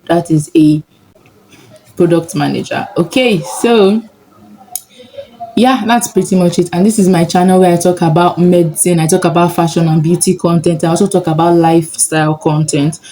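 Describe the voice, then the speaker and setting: A woman's voice: fairly low pitch, steady tone, normal speed, dark tone, poor audio. A young Nigerian woman with a Nigerian accent, chatting casually and upbeat in a sit-down vlog. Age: young adult. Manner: chatty, upbeat, laughs often. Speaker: vlogger, medical student.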